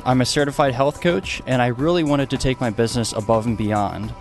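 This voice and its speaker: A man's voice: medium pitch, a little fast, soft background music. A man from Maine who works as a health coach, giving an enthusiastic testimonial. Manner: enthusiastic, salesy.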